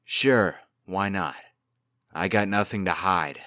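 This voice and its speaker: A man's voice: sits low, takes it slowly, sharp tone, dry recording, clean studio sound, exaggerated voice. A man playing a cocky, glib and defensive young fairy-tale trickster in a comic dub drama. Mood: evasive, insistent.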